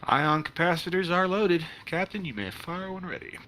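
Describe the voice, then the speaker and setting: A wry, sarcastic young-at-heart spaceship officer acted by a man in a sci-fi comedy play. His voice sits a little high and swings widely. It is bright and animated, with jittery asides. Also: normal speed. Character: jittery, sarcastic male spaceship weapons officer, easily awed.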